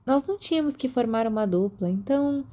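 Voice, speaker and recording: A woman voicing a sensible, shy, friendly teenage schoolgirl in a hushed, hesitant way for an audiobook. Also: fairly high pitch, expressive, brisk, voice pushed up.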